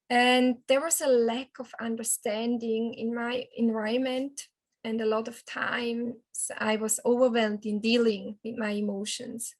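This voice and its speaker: A woman's voice: on the high side, a bit bright. A woman from Switzerland, a native Swiss German speaker with a Swiss German accent, speaking earnestly as a health and wellness coach and often restarting her sentences. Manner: earnest, with frequent restarts and hesitations. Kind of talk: personal testimonial.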